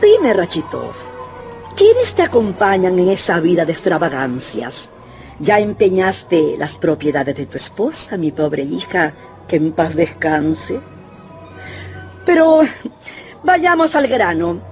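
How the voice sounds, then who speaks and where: A woman's voice: fairly low pitch, big pitch swings, slow pace, a little mellow, faint music behind, exaggerated voice. An actress playing a scornful, imperious old grandmother in a radio drama, bossy, mocking and theatrical. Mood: scornful, probing. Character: imperious, scornful old Russian grandmother, rich and sharp-tongued.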